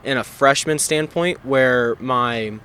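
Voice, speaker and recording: A young man with a bright, forceful voice answers earnestly and rambles a little. Faint street noise hangs behind him outdoors. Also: mid-range voice, slow pace. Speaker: student interviewee. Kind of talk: street interview.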